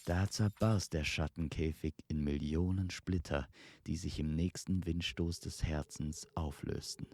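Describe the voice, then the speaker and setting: Casting: male storyteller, slow and mellow, solemn dramatic fantasy narration, echoing recording with ambience behind. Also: low-pitched, fairly even intonation. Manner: measured, solemn. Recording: reverberant, with noisy ambience behind.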